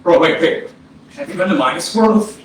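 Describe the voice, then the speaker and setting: A man's voice: a little high, lively intonation, normal speed, close to an everyday voice. Echoey, sardonic young man acted on stage. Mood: teasing. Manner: sardonic, slangy. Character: dead brother Jordan, sardonic, foul-mouthed, slangy young man. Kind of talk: staged play reading, theatrical dialogue. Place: small arts-centre stage, distant mic. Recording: roomy echo, distant mic, noisy audio.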